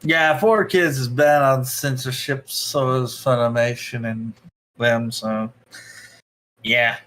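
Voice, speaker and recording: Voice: mid-range voice, fairly even intonation, slow, fairly bright tone. A livestream host chatting casually, rambling along in short offhand remarks and reactions.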